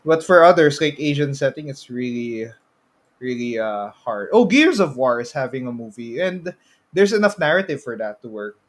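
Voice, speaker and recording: A man with a Filipino accent co-hosting a podcast in casual, somewhat halting chat. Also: mid-range voice, sing-song. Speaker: podcast co-host.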